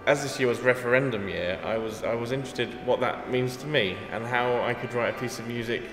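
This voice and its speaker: A man's voice: mid-range voice, brisk. A man with an English accent, a composer talking in an interview, thoughtful and self-deprecating, often restarting his sentences. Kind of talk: casual interview. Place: echoey gallery hall. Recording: echoey hall, music behind.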